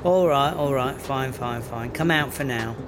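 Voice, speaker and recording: A man's voice: medium pitch, unhurried, over music, lightly acted. A man playing a brash, foul-mouthed English smuggler in a sci-fi comedy audio drama, sardonic and slangy. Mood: sly, probing.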